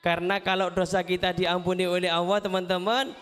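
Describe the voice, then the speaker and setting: High-pitched, clear-voiced male children's preacher. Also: fairly quick, a faint crowd. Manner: animated, engaging, asks questions and repeats phrases for children. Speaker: children's storyteller and motivational speaker. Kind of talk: interactive Islamic motivational talk to schoolchildren. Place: live event at a school before children.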